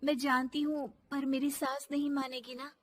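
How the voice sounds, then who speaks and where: Woman acting a high-pitched young daughter-in-law. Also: level tone, moderate pace, lightly acted. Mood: resigned. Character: put-upon young daughter-in-law, innocent, turning cheeky. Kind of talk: animated moral story acting.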